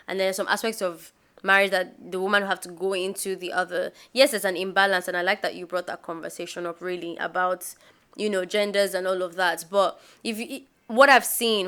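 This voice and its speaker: A woman's voice: medium pitch, smooth. A young Nigerian woman co-hosting a casual podcast chat, telling stories with emphatic reactions. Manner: animated storyteller, emphatic. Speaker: podcast co-host.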